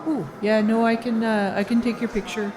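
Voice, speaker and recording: A woman's voice: fairly high pitch, level tone, brisk, lightly acted, rough recording. A woman voicing a flustered, obliging helper trying politely and nervously to steer a crowd in an improvised comedy audio drama.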